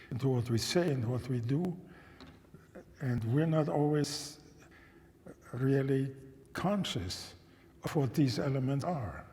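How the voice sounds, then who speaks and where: Elderly man in his 90s, native German and Czech speaker, measured storytelling, mid-pitched voice, long church reverb. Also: darkish tone. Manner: measured, thoughtful, with frequent restarts and questions to listeners. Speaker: guest speaker. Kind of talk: telling a personal memory to an audience. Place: church sanctuary.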